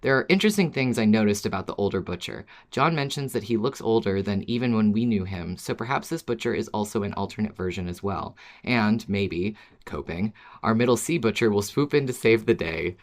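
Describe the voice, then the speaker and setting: Podcast co-host with a mid-pitched voice. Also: expressive, fairly quick, few pauses. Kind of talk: casual podcast chat and reading listener email.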